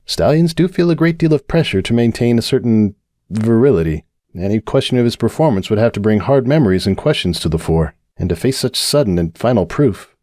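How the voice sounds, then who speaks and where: A man voicing a stallion bartender pony who speaks quietly, low and level. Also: animated, brisk, dry recording, clean studio sound, lightly acted. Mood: soothing.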